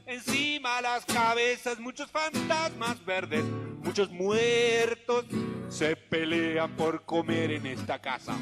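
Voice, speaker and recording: A high-voiced man performing as a children's singer-storyteller, with guitar clearly heard. He is theatrical and exclamatory, coaxing his young audience to join in. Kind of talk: interactive musical storytelling for children. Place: live outdoor show for children. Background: acoustic guitar.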